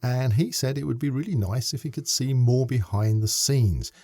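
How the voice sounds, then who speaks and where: A man's voice: medium pitch, expressive, moderate pace, dark tone, no room sound. A man hosting a casual podcast interview, asking long, winding questions with many restarts. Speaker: podcast host.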